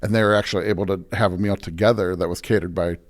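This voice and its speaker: A man's voice: low voice, fairly even intonation, fast talker. An American man, a pastor, chatting casually and affably as a podcast guest. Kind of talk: casual conversational interview.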